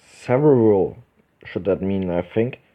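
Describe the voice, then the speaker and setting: A man from Germany with a German accent hosting a casual let's play, reading visual novel text aloud haltingly and correcting himself as he goes. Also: deep voice. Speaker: gaming video host. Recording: dull lo-fi microphone, music behind.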